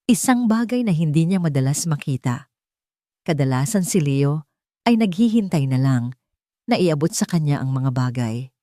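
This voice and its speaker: A woman's voice: low voice, expressive, normal speed, warm tone, no echo, studio quality. A woman with a Filipino accent reading a scripted, dramatic story as an audiobook narrator.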